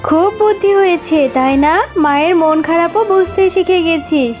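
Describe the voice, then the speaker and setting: High-voiced, motherly fox played by a woman. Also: normal speed, faint music behind. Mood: tender. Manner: earnest, motherly. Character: poor, gentle widowed mother fox. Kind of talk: cartoon voice acting.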